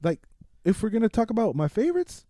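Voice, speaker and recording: A man's voice: high-pitched, sing-song, fast, mellow, a little hiss or hum. A man co-hosting a film podcast, talking casually and bluntly with opinions and some swearing, repeating himself for emphasis. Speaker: podcast co-host reviewing films. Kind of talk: casual movie banter with swearing.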